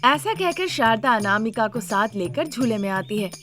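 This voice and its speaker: A woman's voice: fairly high pitch, animated, normal speed, a bit bright, faint music behind. A woman reading a scripted family story as its narrator.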